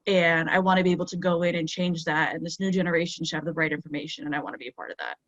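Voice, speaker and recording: A woman's voice: deep voice, rapid-fire, fairly bright tone, no echo. A woman graduate student on a panel, eagerly and casually explaining why she wants to teach. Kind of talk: personal account. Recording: dull lo-fi video call audio.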